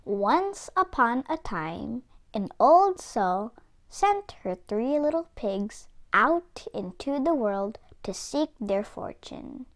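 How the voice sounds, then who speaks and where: Female read-aloud host for kids' stories, expressive and slow, with a lively swooping delivery. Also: on the high side. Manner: expressive, deliberate storytelling. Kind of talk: scripted children's story narration.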